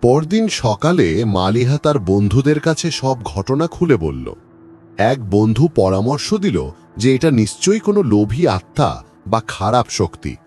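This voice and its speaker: A man's voice: mid-range voice, sing-song, measured pace, darkish tone, soft background music. A man narrating a horror cartoon story in a dramatic, storytelling way. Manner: dramatic storytelling with an audience question.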